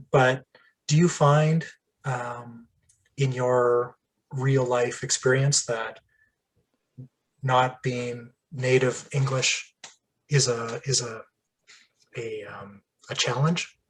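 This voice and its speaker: A man hosting a casual interview, chatty and rambling, with asides and the odd throat clearing. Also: medium pitch, fairly even intonation, drawn-out words, long pauses, slight background noise, low-quality recording. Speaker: podcast host.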